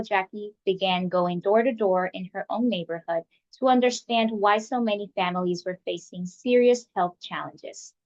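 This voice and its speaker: A woman hosting an online webinar, reading a polite, welcoming scripted introduction over a video call. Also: medium pitch. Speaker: webinar host and community coordinator. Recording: muffled webinar audio.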